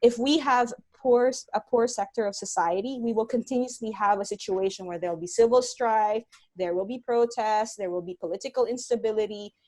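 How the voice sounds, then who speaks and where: A woman's voice: on the high side, brisk, hardly any pauses, darkish tone, poor audio. Earnest woman explaining on a video call. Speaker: guest speaker, environmental scientist. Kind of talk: webinar talk and Q&A.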